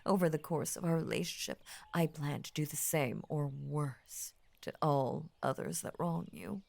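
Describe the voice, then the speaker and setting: Low, slow, possessive lamia woman, acted by a woman. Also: exaggerated voice. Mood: menacingly devoted. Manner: soft, breathy near-whispers, halting, grandiose formal phrasing. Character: possessive, adoring yandere lamia woman, ancient, vain, murderously devoted. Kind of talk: intimate roleplay audio acting.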